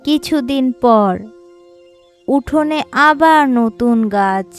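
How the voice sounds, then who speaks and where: A woman narrating a scripted children's moral story in a measured, instructive way. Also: sits high, animated. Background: background music.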